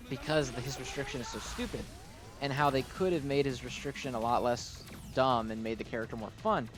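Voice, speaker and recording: A man hosting a gaming video with casual, gushing, enthusiastic commentary, often slipping "like" into his sentences. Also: medium pitch.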